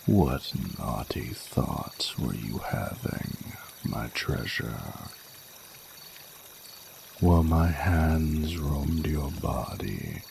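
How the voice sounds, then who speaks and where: Male actor as ancient dragon king, romantic audio roleplay, very gravelly, slow-paced, courtly, tender, flirtatious. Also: extremely low pitch, exaggerated voice. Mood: teasing, sensual. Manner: formal, intimate, archaic courtly phrasing. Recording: noticeable noise, running water sounds.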